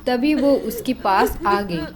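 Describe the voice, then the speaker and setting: A woman's voice: on the high side, animated, slow. A woman narrating a scripted horror story as a storyteller.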